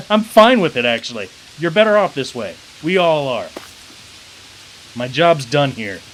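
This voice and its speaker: An actor playing a blunt, contemptuous driver who ferries the dead, in an audio drama. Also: male voice, on the high side, very animated, measured pace, a bit bright, slight background noise, low-quality recording.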